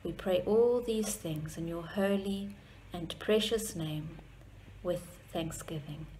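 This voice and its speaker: A middle-aged woman leads a measured spoken prayer in a room at home. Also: on the low side, mellow. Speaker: church member leading prayer. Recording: clearly noisy home recording.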